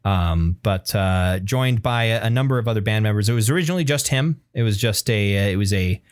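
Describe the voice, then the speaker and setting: A man hosting a casual podcast discussion about music, speaking in a halting way as he searches for his words. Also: on the low side, dry recording, clean studio sound. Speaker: podcast host.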